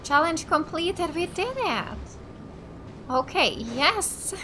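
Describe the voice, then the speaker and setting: A woman from Finland doing casual let's play commentary, reacting aloud and thinking out loud as she plays. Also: extremely high pitch, big pitch swings, slow, background noise. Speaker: gaming YouTuber. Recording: game music behind the voice. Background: video game music.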